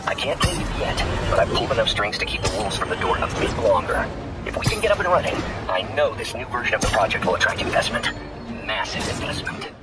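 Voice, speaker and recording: A man's voice: on the low side, big pitch swings, fast talker, heavy background noise. An actor playing a proud, volatile older male scientist mentor in a video game, dramatic and emphatic in his delivery. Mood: urgent, hopeful. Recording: loud music behind.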